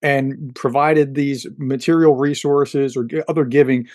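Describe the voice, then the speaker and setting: A man's voice: medium pitch, steady tone, measured pace, a little mellow, no room sound. Friendly man explaining in a dry voice. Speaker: co-host, fellow channel host. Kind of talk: casual chat.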